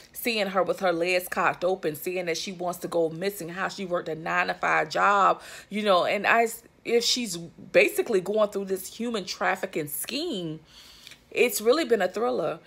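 Gravelly low-voiced woman vlogging. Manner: chatty, emphatic, lots of fillers and asides to viewers. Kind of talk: casual commentary to camera. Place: living room, on a couch indoors. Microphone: phone or webcam at arm's length.

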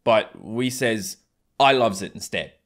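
A man's voice: lowish voice, expressive, unhurried. A man in his twenties from Newfoundland with a strong Newfoundland accent, joking and self-mocking as he talks to camera from a small room at home. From Newfoundland, Canada. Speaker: YouTuber. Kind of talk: casual vlog to camera.

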